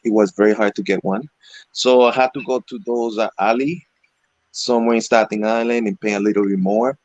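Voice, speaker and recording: Low-voiced Venezuelan-raised man, halting delivery. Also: steady tone, darkish tone, no room sound, lo-fi sound. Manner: halting, frequent "you know" fillers. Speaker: interview guest, electric skateboard rider. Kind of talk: casual video call interview.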